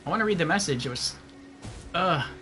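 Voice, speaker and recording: A man's voice: a little high, animated, brisk, pushed and bright, soft background music. A man giving casual livestream game commentary, thinking aloud and reasoning things out step by step.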